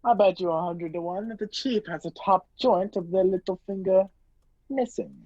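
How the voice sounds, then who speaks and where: Husky, brusque fugitive played by a young actor. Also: medium pitch, normal speed, warm tone, no room sound, natural voice. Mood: dry, sardonic. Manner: commanding, sarcastic. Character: Richard Hannay, brusque sardonic man on the run. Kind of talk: old-fashioned radio play acting. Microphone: video call. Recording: muffled video-call audio.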